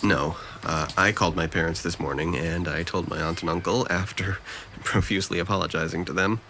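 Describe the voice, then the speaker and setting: Male actor for depressed young in a breakup drama: very low, bright-edged voice, halting, self-blaming. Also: fairly even intonation, a little hiss or hum. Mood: apologetic, subdued. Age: young adult. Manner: hesitant, halting, subdued. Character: depressed, self-blaming young man ending a summer romance. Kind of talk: naturalistic dramatic dialogue.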